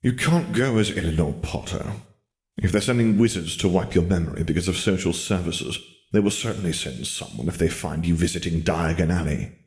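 A man's voice: deep voice, brisk, darkish tone. A man voicing a stern, disdainful wizard mentor, lecturing in a dry, precise way for a story reading.

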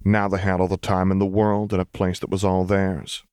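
A man's voice: low-pitched, completely flat delivery, normal speed, darkish tone, smooth, no room sound, studio quality. A man reading scripted fiction as an audiobook narrator.

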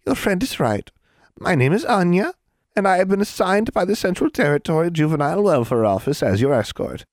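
A man putting on a high, swooping hag woman. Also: big pitch swings, fairly quick, no echo, studio quality. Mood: cheerful. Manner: indulgent, officious, crooning, mock-sweet. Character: cheerful, menacing hag official, huge misshapen woman. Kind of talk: storybook character voice.

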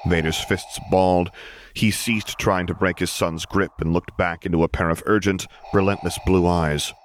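A man's voice: deep voice, fairly even intonation, moderate pace, slight background noise. A man reading a story aloud as dramatic, scripted audiobook narration.

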